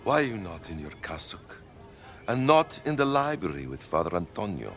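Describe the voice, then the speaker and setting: Gravelly, volatile Italian villain. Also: male voice, sits low, sing-song, normal speed, fairly bright tone, soft background music, exaggerated voice. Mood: stern, suspicious. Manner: stern, theatrical, accusing. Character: menacing, fanatical Italian male villain of authority. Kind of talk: cinematic adventure game acting.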